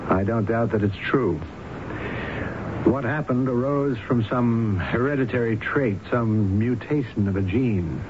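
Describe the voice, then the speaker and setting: An earnest, assured psychologist, played by a male actor, speaks in a mid-pitched voice with serious care. It is radio drama acting on a muffled old broadcast with clear noise. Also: a little slow, lightly acted. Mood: serious, explaining.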